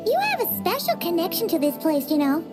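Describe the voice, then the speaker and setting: This is a cheeky little companion creature from a fantasy game. Her voice sits extremely high and swoops around in a bouncy sing-song. She chatters with eager excitement over a loud game score. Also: fairly quick, full character voice. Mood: excited. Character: tiny chirpy floating female companion creature. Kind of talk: video game voice acting. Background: game soundtrack music.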